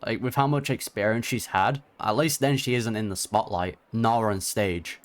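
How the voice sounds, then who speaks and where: A woman's voice: rumbling low, moderate pace. A woman hosting a reaction video, giving casual, informal, slangy commentary on a game.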